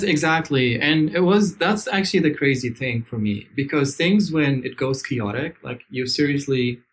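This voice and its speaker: A podcast guest from Syria with a mid-pitched voice, recorded in a hotel room. He tells his story slowly and reflectively, often pausing with fillers as he searches for words. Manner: hesitant, reflective, with frequent fillers. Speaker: interview guest. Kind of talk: conversational storytelling.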